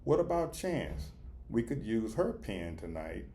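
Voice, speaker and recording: A man reading the part of a weary, pragmatic female shelter manager in a children's storybook, in a measured, resigned way. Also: lowish voice, very animated, warm tone, a little hiss or hum.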